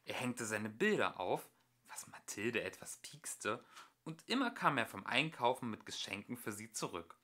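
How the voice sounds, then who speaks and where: A young man reading a children's storybook aloud as an amateur narrator, with expressive storytelling. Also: medium pitch, moderate pace.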